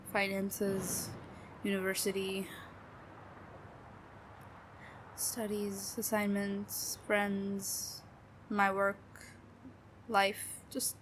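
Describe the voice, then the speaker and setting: A woman playing a young adult best friend who speaks in a halting, subdued way with long pauses, venting about her family. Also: medium pitch, slight background noise. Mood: weary. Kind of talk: audio roleplay acting.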